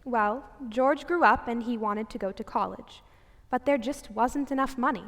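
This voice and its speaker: Radio drama narrator: actress as kindly female storyteller, slightly high voice, drenched in long reverb. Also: normal speed, a bit bright. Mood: patient, explanatory. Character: kindly storyteller recounting a man's life.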